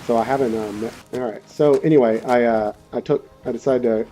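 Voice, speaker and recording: A man's voice: medium pitch, fairly even intonation, brisk, dark tone. A man giving casual, rambling, laid-back commentary as he hosts a let's play. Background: game music and rain.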